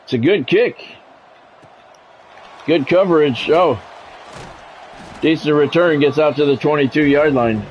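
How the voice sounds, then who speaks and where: A man doing measured, broadcast-style football play-by-play over a video game, in clipped phrases with casual gamer asides. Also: a little high, dull audio, a little hiss or hum.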